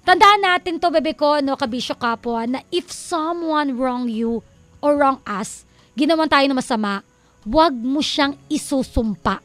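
A woman with a Filipino accent co-hosts a radio advice show, mixing sermon-like encouragement with playful teasing and frequent laughter. Her voice sits high, and the studio sound is dry and close. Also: unhurried, a bit bright, no echo. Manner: preachy and teasing, quotes scripture, laughs often. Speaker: radio co-host.

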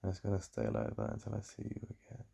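A British man playing a besotted, yearning boyfriend in an intimate ASMR roleplay, confiding in a breathy murmur. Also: sing-song, fast, dark tone, full character voice. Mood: desirous, intimate. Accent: British English. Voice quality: deep, breathy, gravelly.

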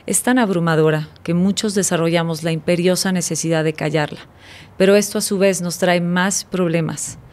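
A woman hosting a podcast, reading a prepared introduction and then chatting warmly and effusively with her guest in a studio. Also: lowish voice, fairly even intonation, normal speed. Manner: warm, effusive, reads from paper. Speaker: podcast host. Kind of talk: scripted intro then casual interview. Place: dark studio set, indoors. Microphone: studio mic on boom arm.

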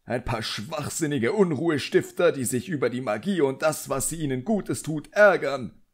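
A man's voice: mid-range voice, animated, moderate pace, voice pushed up, close to an everyday voice. A man voicing an irritable, contemptuous middle-aged wizard official in an audiobook, indignant, pompous and barking. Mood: angry, scornful.

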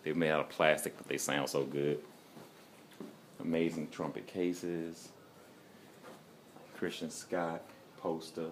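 A man in his forties walking through an instrument factory, filming himself on a phone held at arm's length and talking with excited enthusiasm. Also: a bass voice, takes it slowly, long pauses. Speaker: vlogger touring an instrument factory. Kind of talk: casual walk-and-talk vlog. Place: large indoor factory hall and showroom. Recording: phone recording amid workshop crowd noise.

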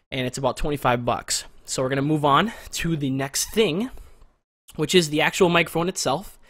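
A young man in a small bedroom giving a casual, rambling walkthrough of his recording gear, close to a condenser mic, in a masculine but not very deep voice. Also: no room sound. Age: young adult. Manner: relaxed, rambling, repeats words for emphasis. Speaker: gear reviewer. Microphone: studio condenser mic with pop filter, close.

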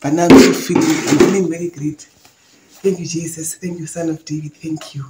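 A woman's voice: low-pitched, fairly even intonation, fairly quick, heavy background noise. A woman from Namibia giving a joyful, effusive testimony. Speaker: prayer testimony giver. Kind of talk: personal testimony. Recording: echoey room.

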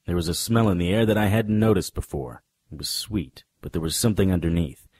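Man playing a husky, low-voiced hardboiled detective. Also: fairly quick. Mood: grim, wry. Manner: wry, deadpan. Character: hardboiled, wisecracking male supernatural private investigator. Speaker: narrator and lead. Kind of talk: noir radio drama first-person narration.

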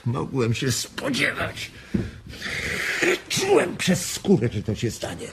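An actor playing a bitter, resigned ranch hand in a radio drama, gravelly and husky, speaking heavily and haltingly. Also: male voice, mid-range voice, huge pitch swings, noisy background, exaggerated voice. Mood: grim. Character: weary, bitter, resigned migrant ranch worker.

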